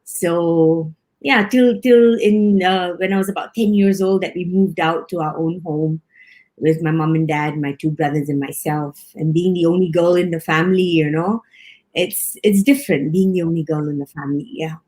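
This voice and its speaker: A woman's voice: lowish voice, normal speed. Woman from singapore in her 50s, chatty interview talk, laughs a lot, keeps restarting. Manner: warm, chatty, laughs often, many restarts. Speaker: hospital director. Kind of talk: casual interview chat.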